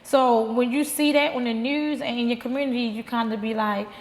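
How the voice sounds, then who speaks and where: A woman's voice: a little high, fairly even intonation, fairly quick. A woman, a guest speaking candidly and plainly in an interview at a restaurant lounge. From Baltimore. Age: 30s. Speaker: guest, life insurance agent. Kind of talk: casual interview. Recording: reverberant room.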